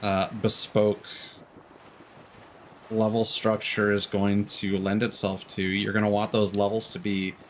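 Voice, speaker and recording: A man with a fairly low voice talks through a game on a live stream. He rambles casually and drops slangy asides, with clear noise and clicks behind him. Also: flat delivery, measured pace. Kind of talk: casual live gameplay commentary.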